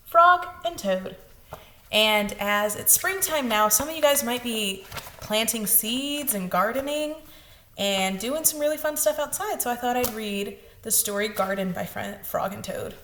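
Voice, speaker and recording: Slow, animated woman preschool teacher. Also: medium pitch, slight background noise. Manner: addresses young children directly. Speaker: preschool teacher hosting story time. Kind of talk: children's storybook narration and greeting.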